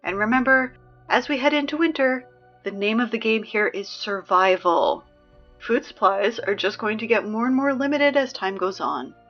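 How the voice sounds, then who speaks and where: A young woman playing a wry, peppy teenage girl who reads high school announcements in a chatty, sardonic, emphatic way for a scripted audio drama. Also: lively intonation, normal speed, highs cut off, soft background music. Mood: wry, upbeat.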